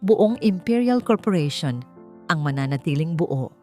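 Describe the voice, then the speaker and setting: A woman voicing a calm, calculating old businessman in a measured way for a story narration. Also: deep voice, soft background music. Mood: grave, calculating.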